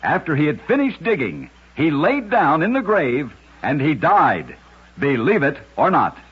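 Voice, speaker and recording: A man's voice: fairly high pitch, huge pitch swings, unhurried, slight background noise. A man reading scripted short stories for a radio show with an emphatic, dramatic storytelling delivery. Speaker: radio narrator of oddity stories. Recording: dull lo-fi old radio recording.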